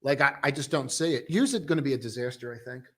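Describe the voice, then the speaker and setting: A young man casually hosting a live stock-trading stream, chatting loosely and joking with viewers in a relaxed, tired way. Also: medium pitch, quick pace. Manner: loose, joking, full of restarts and "dude". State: tired, low on coffee.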